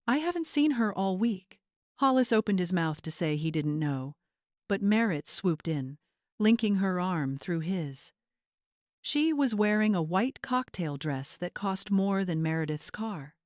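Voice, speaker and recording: A woman's voice: fairly low pitch, expressive, unhurried, no echo, clean studio sound. A woman narrator reading a scripted story in a dramatic audiobook style.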